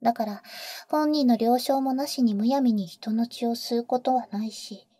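Breathy, high-pitched vampire big sister acted by a woman. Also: dry recording, studio quality. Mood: serious, explanatory. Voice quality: breathy, soft whisper. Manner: intimate, unhurried, cool boyish phrasing. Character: teasing, protective female vampire, older-sister type to a boy. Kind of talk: Japanese ASMR roleplay, mostly whispered. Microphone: close microphone, dry.

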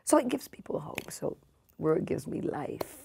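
A woman in her sixties, a pastor talking as a guest in a casual interview, emphatic and repeating her points, often dropping soft and breathy. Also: low voice, sing-song, unhurried, whispered. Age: 60s.

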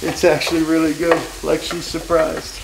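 A man's voice: on the high side, monotone, normal speed, vocal fry, heavy background noise. A man in his forties hosting a cooking vlog in his home kitchen, brash, joking and profane. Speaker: cooking show host. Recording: sizzling frying pan behind.

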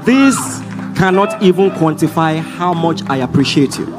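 A man's voice: on the high side, animated, normal speed. A young pastor with a Nigerian accent addressing his congregation exuberantly from a stage in a large church hall. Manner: exuberant, shouting praise. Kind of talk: sermon to a congregation. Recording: loud live church with crowd and music. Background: loud crowd and guitar music.

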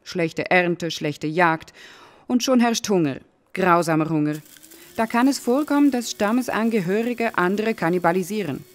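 A woman's voice: on the low side, lively intonation, normal speed, close to an everyday voice. A woman playing a grave legend-telling stranger. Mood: ominous. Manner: grave, storytelling. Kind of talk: radio drama acting.

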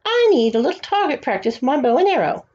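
Bratty proud small boy duke, high whiny sneer, done by a woman, dark mellow tone. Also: big pitch swings, fast talker. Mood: mean sneer. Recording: dull lo-fi home recording.

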